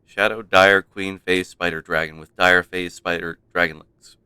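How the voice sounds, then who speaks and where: A man's voice: low voice, steady tone, moderate pace, clean tone, dry recording, poor audio. A man streaming a game with casual, playful, rambling commentary for his chat. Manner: rambling, playful, mock-stern. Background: soft game music.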